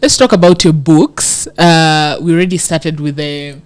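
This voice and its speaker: A woman's voice: low-pitched, fairly even intonation, a little slow, smooth. A woman interviewing a guest, asking friendly, curious questions. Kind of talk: casual interview.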